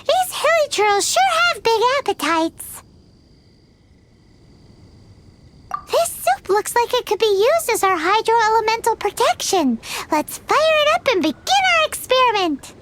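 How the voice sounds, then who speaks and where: A woman voicing a tiny, excitable childlike fairy companion in a video game, chirpy in manner. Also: extremely high pitch, background noise. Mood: excited, bossy. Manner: chirpy, refers to self in third person. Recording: music behind the voice.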